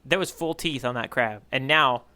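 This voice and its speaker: A man's voice: mid-range voice, normal speed, sharp tone, smooth. A man co-hosting a casual readalong podcast, talking in a playful, rambling way that often interrupts itself. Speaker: podcast co-host.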